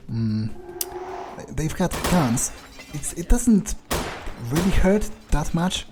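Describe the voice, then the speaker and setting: A man role-playing an arrogant, brooding vampire in a game playthrough, musing his thoughts aloud in a halting, dryly profane way. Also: a little high, sing-song, over music. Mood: wry, musing. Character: brooding, arrogant, blood-hungry male vampire.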